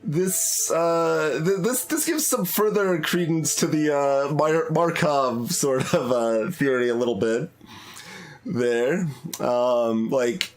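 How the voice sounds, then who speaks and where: A man's voice: on the high side, slow, soft background music. A man giving casual, analytical game commentary, hesitating and correcting himself as he thinks aloud. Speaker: streamer playing through a game.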